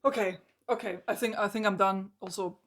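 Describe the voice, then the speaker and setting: Hoarse woman ranting about a book. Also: medium pitch, fairly even intonation, brisk, fairly bright tone. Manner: exasperated, emphatic ranting with frequent "like" and restarts. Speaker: book reviewer.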